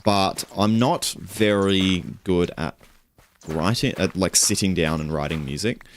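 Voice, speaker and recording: A young man streaming and chatting casually. He rambles in a relaxed way, with little chuckles and self-corrections. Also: unhurried, a little hiss or hum. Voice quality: low voice. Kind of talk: casual stream chat while gaming.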